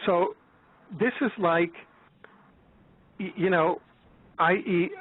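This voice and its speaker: An American man of seventy talking in a rambling, halting way in an interview, often restarting and repeating his words. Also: high voice, no room sound. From United States, born in Florida. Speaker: guest, author and researcher. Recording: tinny phone-like line, dry.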